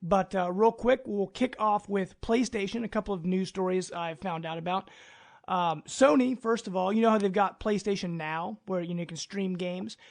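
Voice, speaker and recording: A man's voice: high voice, moderate pace, gravelly. A man hosting a casual podcast, talking in an enthusiastic, informal way. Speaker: podcast host. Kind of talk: casual gaming podcast chat.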